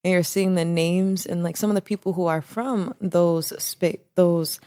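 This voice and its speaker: Young woman guest, casual and animated, low voice, dry close sound. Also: a little slow, dry recording. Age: young adult. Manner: animated, laughs often, restarts phrases. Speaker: guest, business co-owner. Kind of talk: casual interview answers.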